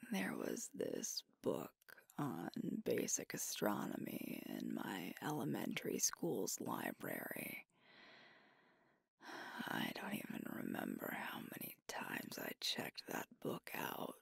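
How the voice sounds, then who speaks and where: A woman's voice: extremely low pitch, huge pitch swings, dry recording, clean studio sound, exaggerated voice. A woman as a whispering, sweet werewolf girlfriend. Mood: gentle nostalgic. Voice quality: whispered, breathy. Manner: gentle, unhurried, intimate storytelling. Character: sweet alpha werewolf girlfriend, nostalgic and tender. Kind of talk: soft whispered ASMR roleplay monologue.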